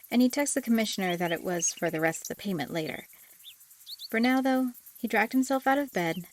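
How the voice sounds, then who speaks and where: A woman reading scripted story narration as the narrator of an audiobook drama. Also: medium pitch.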